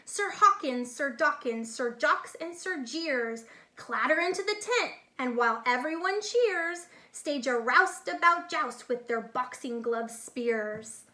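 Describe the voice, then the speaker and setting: A woman reads aloud to young children in a high, bright voice. Her delivery is slow and deliberate but animated, like a teacher sharing a picture book and pausing to explain things to her listeners.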